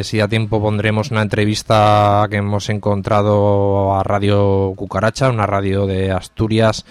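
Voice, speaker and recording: A man's voice: on the low side, clear, resonant tone, dry recording. A man with an accent from Spain presenting a live community radio show in an informal, hesitant way. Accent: Spanish (Spain). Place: radio studio.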